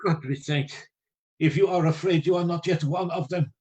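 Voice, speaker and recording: A woman's voice: lowish voice, steady tone, normal speed, mellow, husky, dull audio. A woman playing a resolute, devout vampire hunter in a radio play, emphatic and solemn. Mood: solemn, commanding.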